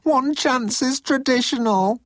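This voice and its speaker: High, miserable young alien underling. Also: male voice, very animated, slow pace, gravelly, husky, no echo, clean studio sound, exaggerated voice. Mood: pleading. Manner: miserable, anxious, blurting protests. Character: timid young alien crewman pleading with his father.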